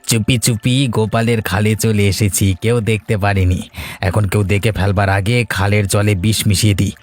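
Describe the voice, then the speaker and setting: Cartoon villain's sidekick male actor: scheming, ingratiating, rapid delivery, faint music bed. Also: on the low side, flat delivery, natural voice. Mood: gloating. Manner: ingratiating, sly. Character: scheming, fawning henchman to a rich merchant. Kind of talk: Bengali cartoon story acting.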